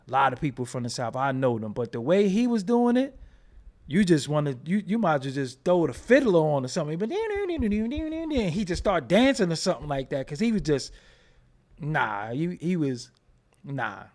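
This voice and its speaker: A man's voice: on the high side, very animated, normal speed, darkish tone. A man with a New York accent giving loose, profane commentary and often asking "you know what I'm saying". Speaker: commentary vlogger. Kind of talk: casual commentary.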